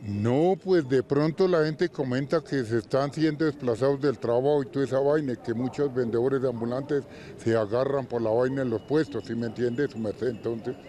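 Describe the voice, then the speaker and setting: A man's voice: medium pitch, moderate pace, few pauses, mellow. A man, chatting colloquially in a street interview. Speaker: passerby.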